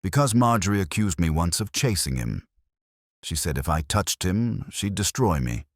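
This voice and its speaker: Nervous, guarded actress voiced by a deep-voiced man. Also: animated, moderate pace, no echo, studio quality. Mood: fearful, defensive. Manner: anxious, defensive.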